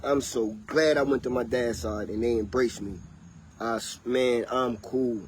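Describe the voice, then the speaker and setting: A man rambles and preaches in a loose, easygoing way on a casual livestream. His voice sits at an ordinary pitch, recorded outdoors with faint cricket and insect noise behind him. It sometimes drifts away from the mic. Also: fairly even intonation, measured pace, darkish tone. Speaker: vlogger.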